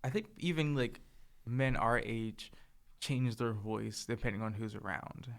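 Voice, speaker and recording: Young guy, soft therapist-y voice, bit of vocal fry, lots of likes and ums. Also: medium pitch, a little slow. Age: young adult. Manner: soft-spoken, hesitant, lots of "like" and "um". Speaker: podcast co-host. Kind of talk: casual chat.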